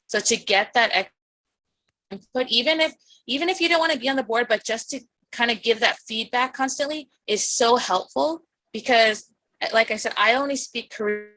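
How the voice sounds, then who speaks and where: A woman's voice: a little high, fairly bright tone, dry recording, rough recording. A woman from the United States, a parent on the board, talking eagerly in an online meeting, rambling and restarting her sentences. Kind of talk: casual online meeting talk. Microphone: video call.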